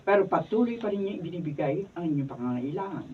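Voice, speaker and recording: Performer as composed lawyer, lively intonation, muffled far-off studio take. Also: medium pitch, fast talker, slight background noise, close to an everyday voice. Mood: explaining. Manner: calm, explanatory, formal. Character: family lawyer handling a will. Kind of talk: radio drama acting. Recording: muffled and distant.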